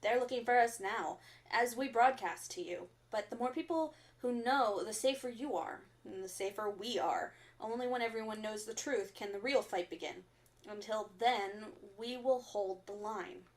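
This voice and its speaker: Woman acting as high-voiced, earnest female resistance broadcaster. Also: normal speed, lightly acted. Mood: solemn, urgent. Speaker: radio broadcast host. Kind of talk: audio drama acting as underground radio broadcast.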